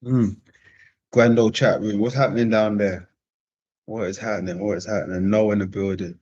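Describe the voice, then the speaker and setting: A man hosting a live-streamed panel chat. He talks casually, repeating phrases and giving slangy shout-outs to viewers. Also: on the low side, steady tone, normal speed, breathy edge. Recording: dull, lo-fi stream audio.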